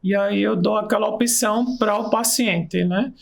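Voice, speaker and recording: High-voiced gravelly man. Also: steady tone, normal speed. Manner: enthusiastic storytelling, pace shifts. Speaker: aromatherapist and nurse, guest. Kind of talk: casual interview chat.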